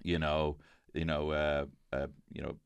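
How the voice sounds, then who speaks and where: An Irish man co-hosting a casual comedy podcast, telling rambling anecdotes and sometimes swooping into high mock singing. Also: flat delivery, measured pace, creaky, clean tone, dry recording. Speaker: podcast co-host.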